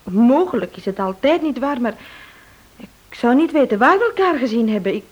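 An actress playing a detective's chatty wife, innocently evasive, in a Dutch radio play. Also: female voice, on the high side, big pitch swings, normal speed, highs cut off. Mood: airily evasive.

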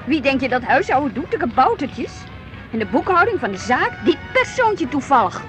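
An actress playing a wistful, discontented married housewife in a radio play. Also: female voice, high voice, moderate pace, soft background music. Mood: indignant. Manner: expressive, brooding, plaintive.

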